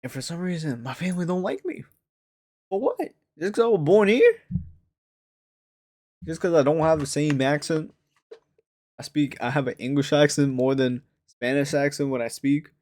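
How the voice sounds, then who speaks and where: Animated man reacting, dry close sound. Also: moderate pace, long pauses, no room sound. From United States. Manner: slangy and animated, breaks into outraged high rises. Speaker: streamer reacting to a crime show. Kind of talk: casual reaction commentary.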